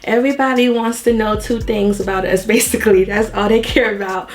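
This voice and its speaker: A young woman co-hosting a casual couple's question-and-answer video, chatty and quick to laugh, still getting over a cold. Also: medium pitch. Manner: chatty, laughs often, lively. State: recovering from a cold. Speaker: vlog co-host.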